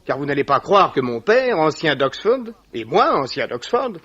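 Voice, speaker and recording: An actor playing a rich, loyal young heir with a formal, earnest manner, in 1950s French radio drama style. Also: male voice, fairly high pitch, sing-song, moderate pace, fairly bright tone. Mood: firm, encouraging. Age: young adult. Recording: dull old radio recording.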